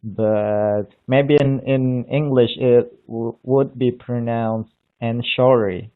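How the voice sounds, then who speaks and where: A man from Indonesia, speaking English with an Indonesian accent in casual chat, halting and restarting often as he searches for words. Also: medium pitch, fairly even intonation, clean tone. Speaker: language learner on a live video call. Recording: tinny phone-like line.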